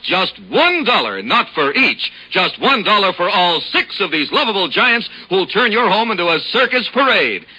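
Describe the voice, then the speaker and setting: A man reading a hard-selling, brisk old radio advertisement. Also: high-pitched, bright, forceful tone, slightly rough, lo-fi sound. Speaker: radio ad announcer.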